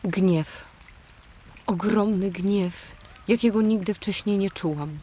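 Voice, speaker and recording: A woman playing a troubled, fearful young draenei in a fantasy audio drama, speaking in a hushed, hesitant way. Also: medium pitch, takes it slowly. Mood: anxious, confessing.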